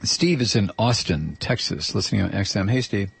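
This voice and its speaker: A man's voice: fairly low pitch, expressive, normal speed, creaky, breathy edge. A man hosting a political talk radio show, ranting angrily and sarcastically, and losing his voice.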